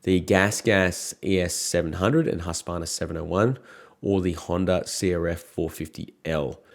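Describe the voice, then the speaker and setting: A man in his thirties, croaky from a cold, chatting casually and frankly to camera as a motorcycle vlogger. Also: low voice, fairly even intonation, normal speed.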